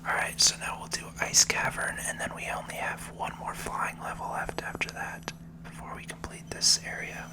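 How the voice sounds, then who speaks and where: A person whispering soft, soothing, breathy ASMR commentary while playing a video game. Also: normal speed, hardly any pauses. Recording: game music behind the voice. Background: video game music.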